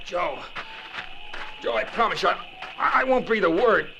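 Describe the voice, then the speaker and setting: A man playing a cowed, desperate henchman in an old radio drama, whining and pleading in a creaky voice. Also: high voice, lively intonation, normal speed, muffled recording, over music. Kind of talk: 1940s radio drama acting. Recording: old radio recording with faint noise.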